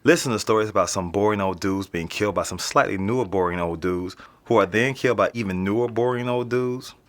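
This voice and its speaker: A man's voice: fairly low pitch, a little fast, fairly bright tone, close to an everyday voice. A young man with an American accent playing a casual, slangy, streetwise teenage boy being interviewed in a naturalistic audio drama. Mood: nonchalant. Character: nonchalant streetwise teenage boy living in an apartment building.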